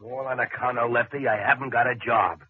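An actor playing a bitter, hot-headed jobless husband who talks in slangy working-class speech, in 1940s radio acting. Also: male voice, mid-range voice, fairly even intonation, brisk, slightly rough, natural voice. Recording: dull old radio recording.

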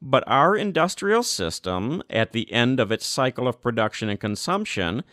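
A man giving a measured, earnest audiobook reading of a formal church document. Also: mid-range voice, sing-song, a bit bright, no echo, clean studio sound.

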